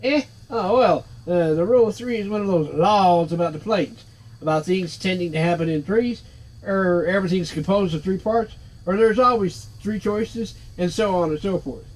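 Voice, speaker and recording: A man's voice: high voice, normal speed, natural voice, rough recording. A man voicing a sardonic, wisecracking floating skull in a cheeky, sarcastic manner, reading game dialogue aloud. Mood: knowing, dismissive. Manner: cheeky, sarcastic, street-talking.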